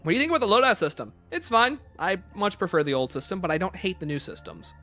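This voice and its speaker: A man's voice: sits high, sing-song, fast talker, pushed and bright, faint music behind. A man hosting a livestream, casually reading out questions and answering them with emphatic opinions.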